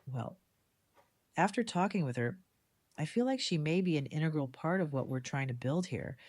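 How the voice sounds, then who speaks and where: A woman playing a composed, decisive community leader in an audio drama, speaking in a measured, diplomatic way. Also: deep voice, lively intonation, a little mellow, no echo. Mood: thoughtful, assured. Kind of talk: fan audio drama dialogue.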